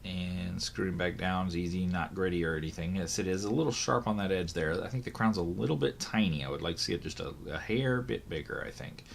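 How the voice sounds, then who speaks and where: A hoarse man, voice still recovering from illness, chatting casually through a product unboxing, thinking aloud with fillers and corrections. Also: deep voice, fairly quick, hardly any pauses, slight background noise. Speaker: watch reviewer.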